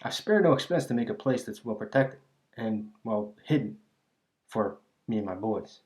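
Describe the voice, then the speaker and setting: A man's voice: lowish voice, animated, normal speed, a little mellow, close to an everyday voice. A man playing a cocky but friendly bandit gang leader in an ASMR roleplay, teasing and chatting in folksy slang. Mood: teasing, easygoing. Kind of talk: ASMR roleplay monologue addressed to listener.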